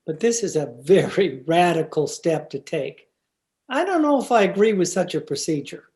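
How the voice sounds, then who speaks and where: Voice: mid-range voice, big pitch swings, normal speed, a little mellow. An actor playing a mild, proper male schoolteacher, hesitant and formal, in an old-time radio comedy. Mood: doubtful. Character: mild, timid, proper male biology teacher. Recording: remote video-call recording.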